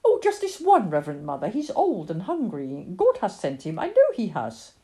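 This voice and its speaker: A woman's voice: on the low side, wildly swooping pitch, normal speed, dark tone. A woman voicing an earnest young farm girl in a story, pleading and emphatic. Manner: pleading, emphatic, tearful when upset.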